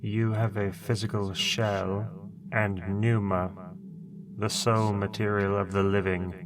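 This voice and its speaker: A man's voice: on the low side, little pitch movement. A man playing a stern enchanted tomb door. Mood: solemn. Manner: slow, solemn, commanding.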